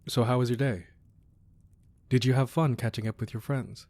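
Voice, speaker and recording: A man playing a weary, menacing crime boss with a tender side, in a controlled, deliberate ASMR roleplay delivery. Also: medium pitch, steady tone, a little mellow, dry recording, close to an everyday voice. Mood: gentle, weary. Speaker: audio roleplay voice actor. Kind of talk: ASMR roleplay acting, close and dramatic.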